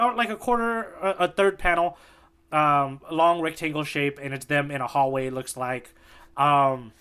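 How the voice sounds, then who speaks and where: Male podcast co-host, a bit high-pitched, easygoing, bright voice. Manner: easygoing, agreeable, filler-heavy. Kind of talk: casual chat.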